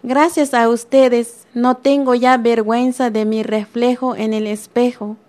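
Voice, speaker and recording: A woman reading a listener's letter aloud on the radio, slow and earnest. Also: a little high, little pitch movement, darkish tone.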